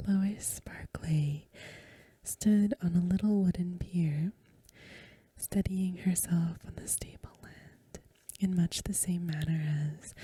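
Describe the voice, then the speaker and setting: Female ASMR reader, very breathy and hushed, mellow dark tone, gentle and unhurried delivery. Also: lowish voice, no echo. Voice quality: breathy, mostly whispered. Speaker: host of an ASMR channel, academic reader. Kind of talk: soft-spoken ASMR talk and story reading.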